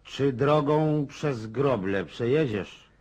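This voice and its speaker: An actor playing a talkative, grumbling older country landowner in a radio drama, rambling in a folksy, world-weary yet jovial way. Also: male voice, medium pitch, lively intonation, takes it slowly, darkish tone. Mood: irritated. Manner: rambling, folksy, chuckling, exclamatory.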